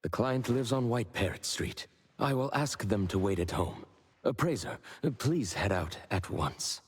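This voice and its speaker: A composed agency boss in a video game. His voice is low and very husky, with long reverb trailing it. He speaks formally, in measured, polite, businesslike phrases. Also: animated. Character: composed, formal male superior at an anomaly-hunting agency.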